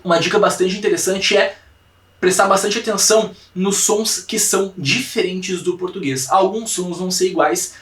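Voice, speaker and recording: A young Brazilian man teaching a language class online, repeating words slowly to drill pronunciation and checking in with his students often. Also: sits high, fairly even intonation, a bit bright, distant mic. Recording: echoey room, voice from off the mic.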